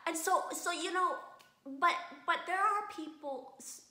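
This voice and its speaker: A woman from Vietnam, a guest in a casual interview, speaking thoughtfully with many restarts and pauses. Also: very high-pitched, bright, forceful tone. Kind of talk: casual conversation. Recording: roomy echo.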